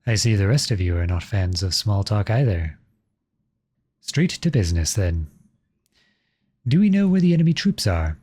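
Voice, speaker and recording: Husky low-voiced outlawed prince, acted by a man. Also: sing-song, warm tone. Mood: polite, wry. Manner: formal, measured, courteous. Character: outlawed young prince serving as lowly soldier, earnest, brooding. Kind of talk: scripted roleplay audio drama monologue.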